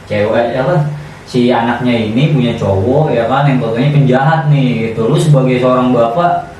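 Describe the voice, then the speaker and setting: A man's voice: medium pitch, normal speed, darkish tone. Echoey, slangy Jakarta man podcasting. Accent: Jakarta Indonesian. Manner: slangy, chummy interjections. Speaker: podcast co-host. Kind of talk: casual podcast chat. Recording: very echoey room, distant mic, faint noise.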